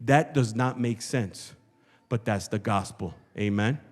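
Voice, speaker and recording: A man's voice: lowish voice, measured pace. A male pastor preaching a church sermon, emphatic and rhetorical, repeating phrases for effect. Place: large church hall, before a congregation. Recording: long hall reverb, church sanctuary PA.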